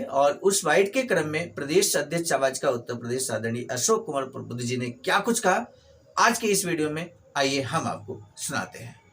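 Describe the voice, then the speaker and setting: A man's voice: fairly high pitch, a bit bright. A man introducing a report in a formal, announcing voice-over style. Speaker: channel presenter.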